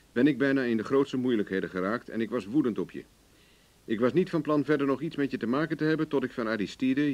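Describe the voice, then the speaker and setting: An actor playing a cocky, wry, hard-drinking investigator in a radio play, speaking in a dry, self-assured way. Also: male voice, mid-range voice, a little mellow, lightly acted. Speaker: actor in the lead role. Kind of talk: Dutch radio play acting. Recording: dull, lo-fi old radio recording.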